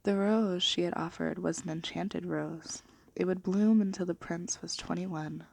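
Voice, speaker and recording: Breathy, hushed woman speaking soft ASMR. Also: on the low side, steady tone, measured pace. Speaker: ASMR video host and storybook reader. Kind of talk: soft-spoken and whispered ASMR, chat and bedtime storybook reading.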